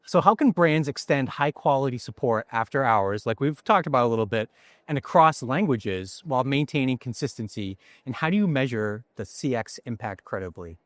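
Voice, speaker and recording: A man's voice: mid-range voice, expressive, normal speed, no room sound, clean studio sound. A man hosting an interview, asking questions and reacting with enthusiastic agreement. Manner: enthusiastic, frequent agreeing backchannels. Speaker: interview host. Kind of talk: conversational interview.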